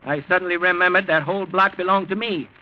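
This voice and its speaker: A man's voice: high voice, fairly even intonation, a little hiss or hum, natural voice. An actor playing a shrewd, commanding rich American grandfather in 1940s radio style. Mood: commanding, proud. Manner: brisk, commanding. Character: shrewd, feisty rich old grandfather, police commission president. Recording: muffled 1940s radio recording.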